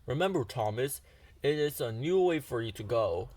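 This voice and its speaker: A man's voice: medium pitch, animated, normal speed, a little mellow, dry recording, natural voice. A man voicing a commanding male railway boss who calls out emphatic orders in a children's storybook. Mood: cautioning. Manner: emphatic, calling out.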